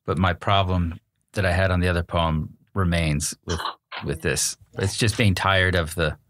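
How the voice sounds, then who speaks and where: A man's voice: low voice, fairly even intonation, normal speed, a bit bright. A man giving plainspoken opinions as a panelist in a casual literary discussion.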